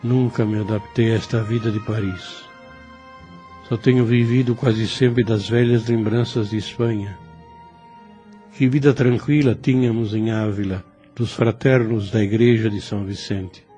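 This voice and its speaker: Man playing a dying old priest, low and mellow. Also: steady tone, faint music behind, lightly acted. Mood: nostalgic. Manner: slow, halting, tender speech. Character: dying old Spanish priest, gentle, devout, nostalgic. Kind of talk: religious audio novel acting.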